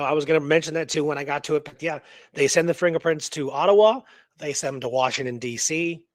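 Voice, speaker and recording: A man co-hosting a show, casually discussing a historical mystery in a halting, rambling way that repeats words. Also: a little high, no room sound, clean studio sound. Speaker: co-host of a radio history show.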